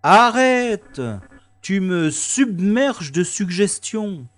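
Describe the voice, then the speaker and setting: A man's voice: high voice, expressive, very slow, a bit bright, exaggerated voice. A man playing a sardonic, stubborn special agent in a science-fiction audio drama, with a wry, theatrical delivery. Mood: sardonic, indignant.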